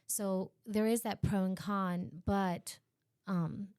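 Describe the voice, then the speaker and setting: A woman's voice: medium pitch, fairly even intonation, takes it slowly, a little mellow, clear, resonant tone, no room sound, clean studio sound. A woman podcast guest, from Virginia, telling her own school story casually with many fillers. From Harrisonburg, Virginia.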